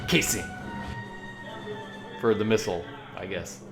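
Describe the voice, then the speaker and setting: A man hosting a reaction video, chatting casually and musingly in halting asides as he comments on a cartoon. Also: low-pitched, gravelly, music in the background.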